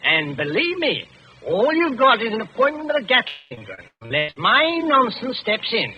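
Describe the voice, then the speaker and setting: Brash London magician, showman patter, very animated delivery, vintage radio drama, dull lo-fi sound. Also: high voice, moderate pace. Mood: cocky, persuasive. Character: brash, showy Cockney stage magician posing as witch doctor. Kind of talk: old-time radio drama acting. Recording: dull, noisy old radio broadcast.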